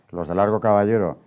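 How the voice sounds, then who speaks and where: A man's voice: lowish voice, darkish tone. A man with an accent from Spain, explaining things as an expert guest, with emphatic asides. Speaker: history professor, expert guest. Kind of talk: explanatory interview answer.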